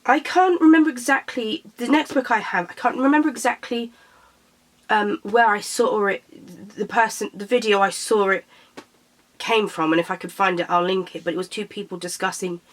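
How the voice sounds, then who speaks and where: A young woman from Britain, a little croaky after being sick, chatting casually to camera about books and tripping over her words. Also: mid-range voice, moderate pace. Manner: chatty, self-correcting, stumbles over titles. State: slightly croaky from illness. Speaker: book vlogger.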